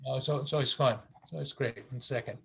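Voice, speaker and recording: A man hosting an interview show on a video call, friendly and a bit halting with fillers. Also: mid-range voice, fairly even intonation, creaky. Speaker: interview host.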